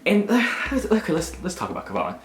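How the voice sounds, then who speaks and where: A man in his twenties casually telling a personal story in an animated, slangy way, often dropping in little check-in phrases. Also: sits high, normal speed, slight background noise. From Augusta, United States. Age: 20s. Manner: animated, slangy, punctuated with "you know what I'm saying". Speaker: storytelling vlogger. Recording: roomy echo with music behind. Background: background music.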